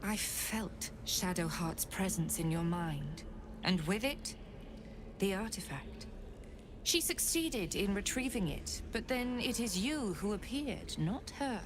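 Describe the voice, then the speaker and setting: A woman's voice: medium pitch, lively intonation, slow, noisy background. A woman playing an imperious, calculating cult commander in a video game, with a haughty, formal and threatening manner. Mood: menacing, demanding. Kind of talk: dramatic video game acting.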